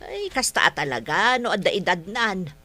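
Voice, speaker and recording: A sympathetic Filipino friend in a radio drama. Her voice is mid-pitched and recorded close and dry. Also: lively intonation, normal speed, bright, forceful tone, no echo, soft background music. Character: sympathetic female friend giving practical advice. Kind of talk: Ilocano radio drama acting.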